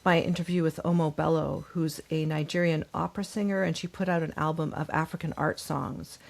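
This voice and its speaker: A woman's voice: low-pitched, steady tone, a little fast, vocal fry. A woman hosting a conversational interview, chatting in a friendly, attentive way. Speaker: podcast host.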